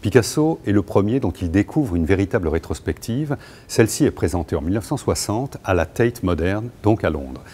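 A middle-aged man, a museum curator, explaining an exhibition in a measured, articulate, lecturing way, recorded on a lapel mic in a large gallery. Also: on the low side, lively intonation, warm tone, breathy edge. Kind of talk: explanatory art commentary.

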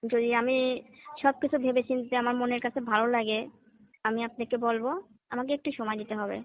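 Woman playing a hesitant, fast-talking young college student. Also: fairly high pitch, steady tone, phone-quality sound, lightly acted. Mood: deferential. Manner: deferential, explanatory, reluctant. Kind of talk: staged phone-call drama.

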